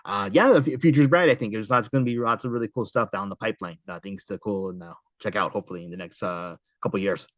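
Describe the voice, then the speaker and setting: A man's voice: fairly low pitch, quick pace, hardly any pauses, no echo, studio quality. A middle-aged man hosting a podcast, chatting casually and agreeably with his guest. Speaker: podcast host. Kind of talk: casual chat about comic books.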